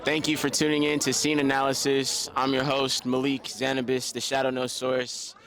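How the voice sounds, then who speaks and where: A man's voice: mid-range voice, monotone, normal speed, fairly bright tone. A man hosting street interviews at a music show, joking, swearing and talking in loose slang. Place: outdoors at a crowded music show. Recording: crowd noise behind.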